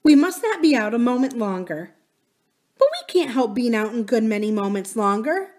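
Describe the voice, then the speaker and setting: A woman voicing a little princess, curious and excitable, who chatters eagerly and breathlessly in a storybook reading. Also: fairly high pitch, brisk. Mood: cheerful.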